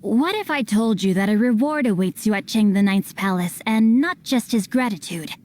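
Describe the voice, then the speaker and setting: A woman's voice: medium pitch, expressive, normal speed, a little mellow, natural voice. A woman playing a refined, bookish teenage noble boy, playful and chivalrous, who speaks in formal, wordy phrases in a video game. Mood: polite, sly.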